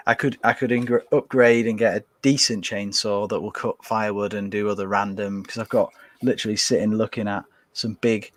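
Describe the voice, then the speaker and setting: A man with a lowish voice talks casually as a podcast guest, wandering through his thoughts with fillers and restarts. The recording is dry and close. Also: a bit bright, dry recording. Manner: rambling, hesitant, lots of fillers and restarts. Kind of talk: casual chat.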